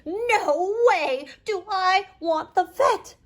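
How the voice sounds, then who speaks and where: A woman's voice: extremely high pitch, expressive, drawn-out words, pitched above the performer's own voice, heavily acted. A woman voicing a frightened, grumbly male goat with a toothache in a children's storybook, in quavering, bleating exclamations. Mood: frightened, wailing.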